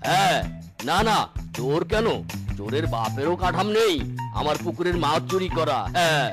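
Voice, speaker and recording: A man's voice: on the high side, animated, normal speed, close to an everyday voice. A man playing a proud, suspicious tiger uncle who guards his pond in a cartoon, blustering as he talks. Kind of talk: cartoon voice acting. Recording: music behind the voice.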